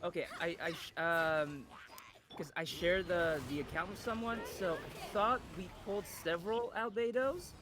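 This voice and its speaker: A man's voice: high voice, moderate pace, noisy background. A Vietnamese-born man whose first language is Vietnamese, a voice actor guest chatting casually with lots of restarts and laughs. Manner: laughing, self-deprecating, stumbling restarts. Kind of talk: casual interview chat.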